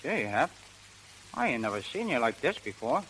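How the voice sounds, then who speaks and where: An actor playing a cocky, dim young prizefighter with slangy, boastful talk in 1930s radio style. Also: male voice, mid-range voice, very animated, normal speed, fairly bright tone, a little hiss or hum, rough recording. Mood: boastful, puzzled. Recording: old 1930s radio broadcast recording.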